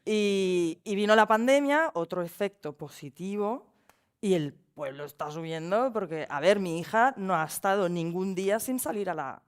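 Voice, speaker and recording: A woman's voice: medium pitch, animated, normal speed, clear, resonant tone. A woman from Barcelona speaking as a panelist, chatty and personal, with small laughs between points. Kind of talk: panel discussion.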